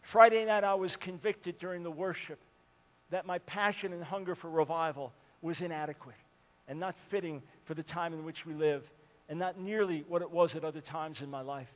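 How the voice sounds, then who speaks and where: A man in his sixties preaching a sermon, swinging from loud appeals to hushed, pleading lines. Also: fairly high pitch, fairly even intonation, moderate pace, long reverb tail. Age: 60s. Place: large church with a balcony.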